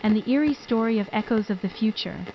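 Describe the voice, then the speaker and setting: Woman announcer under loud noise. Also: a little high, fast, dull audio. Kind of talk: scripted intro announcement.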